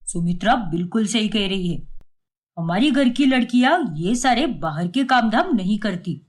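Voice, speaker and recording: A strict older father-in-law from a cartoon family drama, played by a woman. He speaks in a commanding, dismissive way, laying down household rules with lively swings of pitch. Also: moderate pace, close to an everyday voice. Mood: stern, commanding.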